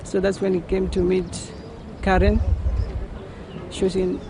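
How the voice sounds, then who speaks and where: A man's voice: high voice, completely flat delivery, unhurried, vocal fry, crowd noise. A man in his twenties, a youth coordinator telling his story steadily in a documentary interview. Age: 20s. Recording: outdoor street noise with passing traffic.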